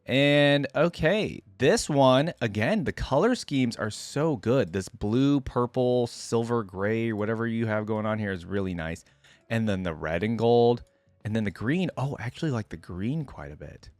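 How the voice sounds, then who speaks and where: A man's voice: medium pitch, expressive, unhurried, a little mellow, no room sound. A man giving casual, off-the-cuff reaction commentary, thinking aloud in an informal way. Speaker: reaction video host.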